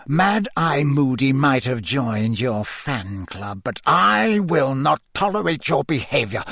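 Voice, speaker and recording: A man voicing a menacing, vindictive male potions teacher in an audiobook, with hissing, drawn-out, cutting threats. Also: sits high, gravelly, sharp tone, husky, no room sound, studio quality, pitched above the performer's own voice, full character voice.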